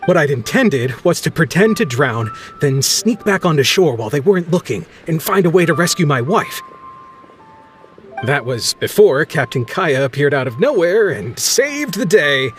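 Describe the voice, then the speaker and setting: A flustered, stammering sea captain. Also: male voice, fairly high pitch, sing-song, normal speed, faint music behind. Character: flustered embarrassed male ship captain. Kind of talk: video game voice acting.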